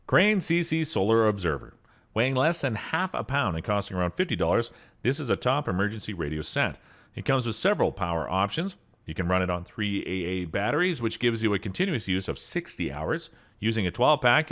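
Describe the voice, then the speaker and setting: Lively-pitched man reading a gadget rundown. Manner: even, steady reading of spec lists, lifting on product names. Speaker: product review narrator. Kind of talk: scripted voice-over product rundown.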